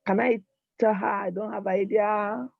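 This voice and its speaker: Husky-voiced woman student, polite and hesitant. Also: medium pitch, fairly even intonation, unhurried, no room sound. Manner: polite, hesitant, clears throat, addresses tutor as ma. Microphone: video call. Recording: dull, dry video call audio.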